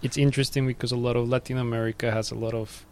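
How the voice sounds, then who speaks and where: A man hosting an oral history, reading a formal introduction and then asking questions in a measured way. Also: mid-range voice, little pitch movement, darkish tone. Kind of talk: read introduction, then interview.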